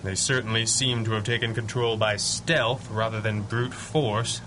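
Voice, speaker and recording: Confident masked mystery man, male actor, old-time radio serial; brisk, dry wit, noisy rumbling background. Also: steady tone, close to an everyday voice. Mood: urgent, reasoning. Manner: brisk, deductive, dryly witty. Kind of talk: 1930s-style radio adventure acting.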